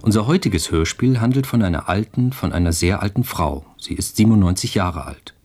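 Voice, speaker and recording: Low-voiced, slightly husky male radio announcer. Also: lively intonation, fairly quick, a little mellow, faint music behind. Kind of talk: scripted radio play introduction.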